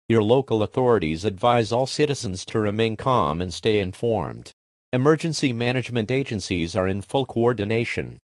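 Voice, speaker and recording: A man reading an emergency broadcast message as an alert announcer, formal and measured. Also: fairly low pitch, no echo, clean studio sound. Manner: formal, measured official delivery.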